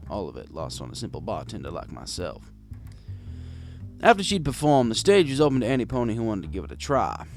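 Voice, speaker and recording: A man's voice: fairly low pitch, a little mellow. A man reading a first-person story in a wry, conversational storytelling manner. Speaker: fan fiction reader.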